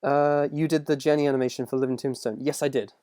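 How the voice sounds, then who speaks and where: A man's voice: medium pitch, steady tone, fast talker, mellow, clear, resonant tone, no room sound. Young man, close dry sound. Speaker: animator and video creator answering viewer questions. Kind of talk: casual livestream Q&A, reading questions aloud.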